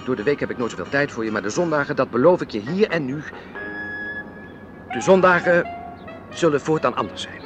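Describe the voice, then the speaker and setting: Actor as a timid, clinging husband, swooping voice. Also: male voice, medium pitch, animated, fairly quick, long pauses, over music, natural voice. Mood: placating, evasive. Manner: hesitant, pleading, placating. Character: timid clinging husband, craves security and routine. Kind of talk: radio drama acting.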